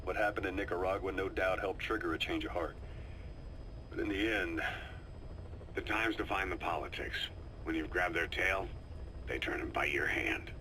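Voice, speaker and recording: Shrewd veteran spy, deep voice, forceful edge, coolly composed, deliberate dramatic pauses, video game briefing. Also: male voice, low-quality recording. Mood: wry, grave. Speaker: intelligence operative briefing an old comrade. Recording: faint noise, cassette tape briefing.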